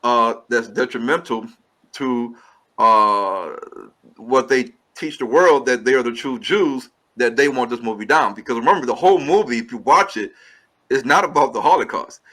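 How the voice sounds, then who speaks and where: A man's voice: medium pitch, normal speed, sharp tone. A man, a filmmaker guest, giving long earnest explanations in an interview and restarting his sentences often. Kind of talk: interview answers.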